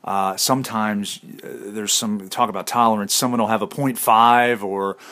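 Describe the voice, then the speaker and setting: A man's voice: on the low side, fairly even intonation, normal speed, bright, forceful tone. A man with a Midwestern accent hosting an interview, chatty and joking, with filler words. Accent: Midwestern American. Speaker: interview host. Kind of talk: casual interview questions.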